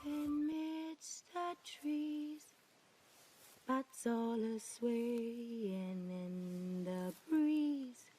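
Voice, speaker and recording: An actress playing an eerie singer, singing a slow, dark lullaby for a fantasy game. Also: female voice, fairly high pitch, faint music behind. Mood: eerie, soothing.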